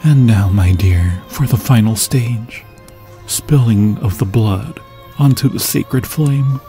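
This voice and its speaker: A man's voice: fairly low pitch, dark tone, soft background music. A man voicing an obsessive undead ghost lover in an ASMR fantasy roleplay, theatrical and solemn, menacing yet tenderly possessive. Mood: tender, sinister devotion. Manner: theatrical, slow, archaic solemn phrasing.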